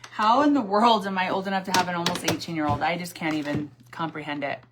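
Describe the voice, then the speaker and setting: A woman's voice: fairly low pitch, fast, background noise. A woman in her forties chatting casually on a livestream while doing her makeup, sassy and chatty, answering viewer comments and laughing often. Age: 40s. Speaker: livestream host.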